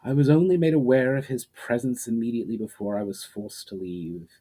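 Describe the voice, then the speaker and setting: A man's voice: mid-range voice, a little fast, warm tone, husky, close to an everyday voice. A proud, scheming sorceress, voiced by a male storyteller, bristles with spite in a fantasy audiobook. She bites at her words with venom and sounds incredulous that anyone would question her. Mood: bitter, conceding.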